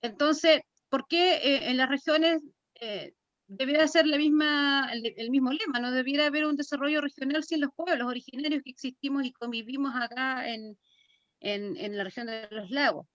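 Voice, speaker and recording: High-voiced Chilean woman, dry muffled sound. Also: normal speed, fairly bright tone, no room sound. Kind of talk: panel discussion.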